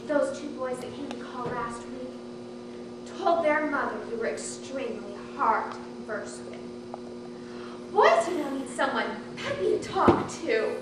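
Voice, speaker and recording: A high-voiced, fussy aunt played by a woman who gushes and lectures with prim, theatrical politeness. She is heard on a very echoey stage from far off, with clear noise over the recording. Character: fussy, genteel Southern aunt coaching her shy niece. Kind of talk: stage acting in a one-act comedy. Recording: echoey stage, distant mic, hissy background noise.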